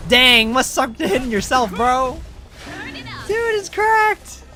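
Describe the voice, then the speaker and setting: A game streamer doing casual, excitable live commentary in slangy talk peppered with bro and dude. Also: high-pitched, slow pace, over music.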